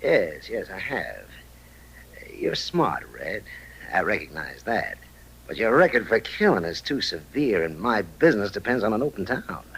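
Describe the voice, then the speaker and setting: Calculating, threatening saloon owner, radio western; husky voice with very animated swings in pitch. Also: male voice, a little slow, exaggerated voice, rough recording. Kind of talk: old-time radio western acting.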